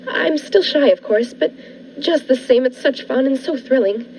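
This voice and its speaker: A woman playing a shy, excitable spinster of forty in a 1940s-style radio drama, earnest and eager. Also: high voice, normal speed, slight background noise. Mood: wistful excitement. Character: naive, excitable, shy forty-year-old spinster diarist. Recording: dull lo-fi with music behind.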